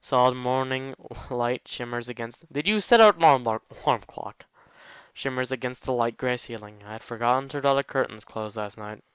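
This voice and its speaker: A man's voice: mid-range voice, normal speed, fairly bright tone, clean tone, dry recording. Man reading a game aloud, muffled lo-fi sound. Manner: informal, stumbles over words and chuckles at the story. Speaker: let's play host. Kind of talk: reading a visual novel aloud with jokey asides.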